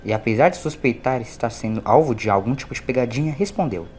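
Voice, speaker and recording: A man reads a story as a scripted narration, with a Brazilian accent. His pitch is medium, and there is clear ambient noise behind him. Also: animated, quick pace, darkish tone. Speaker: audiobook narrator.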